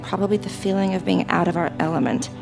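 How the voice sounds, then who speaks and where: A woman's voice: lowish voice, fast talker, gravelly, music in the background. A woman playing a wry, greedy thief with a hard edge and a cool, sardonic manner in an audio drama.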